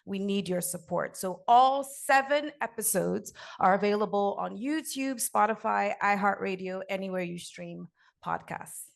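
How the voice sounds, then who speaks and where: A woman in her thirties from Nigeria, with an American accent she picked up at school, tells her story directly to a live audience. Her voice has a gravelly edge of vocal fry, and her delivery is earnest and direct. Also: mid-range voice. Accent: American, learned over a Nigerian one. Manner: earnest, direct, addresses the audience warmly and at length. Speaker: conference speaker and podcast co-host. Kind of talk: personal testimony speech to a live audience.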